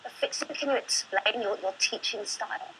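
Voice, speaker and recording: A woman's voice: on the high side, a little fast, a bit bright, a little hiss or hum, poor audio. A woman hosting a discussion panel, interjecting with probing questions. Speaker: host.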